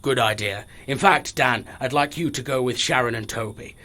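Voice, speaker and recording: A man's voice: mid-range voice, moderate pace, sharp tone, natural voice. A man playing a cocky, sardonic, self-appointed team leader in an audio drama, wry and self-assured. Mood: resolute.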